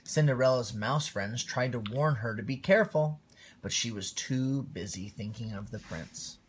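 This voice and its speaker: A man reading a bedtime story aloud to his child on a phone livestream, expressive with quiet asides. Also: mid-range voice, moderate pace, a little hiss or hum.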